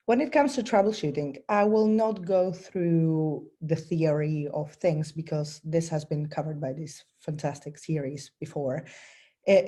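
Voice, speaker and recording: A woman with a bad cold giving a technical presentation over a video call, explaining step by step with frequent ums and throat clearing. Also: low-pitched, warm tone.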